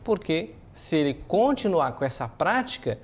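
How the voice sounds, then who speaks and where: A man's voice: on the high side, big pitch swings, normal speed, a little hiss or hum. A man with a Brazilian accent giving opinionated, explanatory news commentary as a journalist, speaking semi-improvised and posing rhetorical questions.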